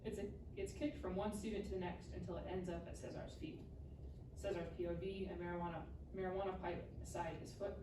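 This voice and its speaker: A woman's voice: on the low side, level tone, fast. A woman reading scripted screenplay narration aloud at a live table read. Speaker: stage directions reader. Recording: echoey room, distant mic, clear noise.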